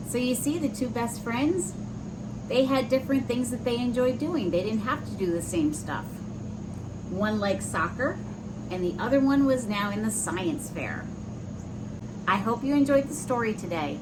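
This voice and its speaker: A woman reading a children's picture book aloud, pausing to explain the pictures in simple words for young listeners. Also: fairly high pitch, expressive, noisy background.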